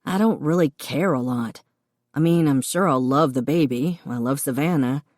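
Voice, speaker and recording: A woman voicing a grown man who talks in a dry, gruff, understated way. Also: extremely low pitch, moderate pace, a little mellow, no echo.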